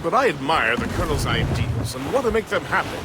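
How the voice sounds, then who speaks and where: A man voicing a jovial, gentlemanly first mate in a sailing video game, with hearty, old-fashioned phrasing. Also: on the high side, sing-song, fairly quick. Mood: genial, earnest. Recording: loud wind and sea noise. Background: ship, waves and wind.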